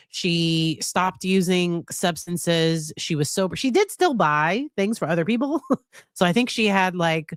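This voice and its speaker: A woman's voice: fairly low pitch, clean tone, no room sound, studio quality. A middle-aged woman psychologist hosting a live stream, chatting casually with a slightly nasal voice from a cold, often restarting and hedging. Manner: chatty, hesitant, many restarts and laughs. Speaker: psychologist and livestream host.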